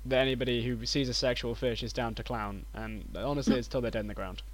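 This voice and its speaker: A man with a deep voice, a player in a tabletop game, chatting casually and cracking jokes. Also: steady tone, fast, noisy background. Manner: jokey, teasing. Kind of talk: casual chat.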